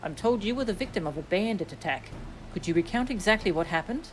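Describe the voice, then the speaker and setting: A woman voicing a formal, resolute young nobleman from a fantasy game in a measured, commanding way. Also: mid-range voice, expressive, a little hiss or hum, close to an everyday voice, poor audio.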